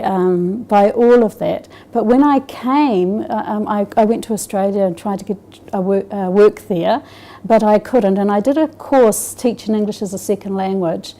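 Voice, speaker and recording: Soft-toned New Zealand woman, hesitant. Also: medium pitch. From Scotland, raised in New Zealand. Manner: hesitant, many fillers and restarts. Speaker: interviewee. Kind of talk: personal testimony.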